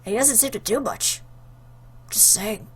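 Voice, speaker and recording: Casting: female let's play host, higher voice, playful, joking, off-the-cuff game commentary. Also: normal speed, slight background noise. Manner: joking, chatty. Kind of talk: casual playful game commentary with read-aloud choices.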